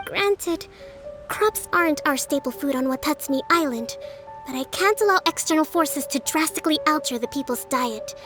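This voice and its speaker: A woman playing a composed, earnest young island leader in a video game, speaking in a formal, measured manner. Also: high voice, expressive, music in the background. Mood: patient, explaining.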